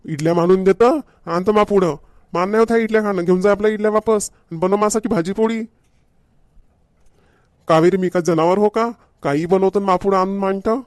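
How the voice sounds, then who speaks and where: A man's voice: sits high, a little fast, darkish tone, no echo, studio quality, close to an everyday voice. A man playing a grumbling, demanding village husband in a comedy cartoon, with a Varhadi Marathi accent and a nagging, repetitive manner. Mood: indignant, bossy. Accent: Varhadi Marathi from Vidarbha.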